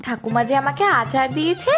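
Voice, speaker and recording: A woman's voice: high voice, animated, fast talker. A woman voicing an innocent young village girl in a cartoon, plaintive and protesting. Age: young adult. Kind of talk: cartoon voice acting. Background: music.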